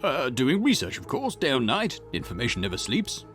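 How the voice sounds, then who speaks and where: A man's voice: mid-range voice, wildly swooping pitch, moderate pace, fairly bright tone, soft background music, voice pushed up. A man voicing a conceited, irritable historian and occult researcher in a visual novel, pompous, snappish and condescending. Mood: haughty, impatient. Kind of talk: acted visual novel dialogue.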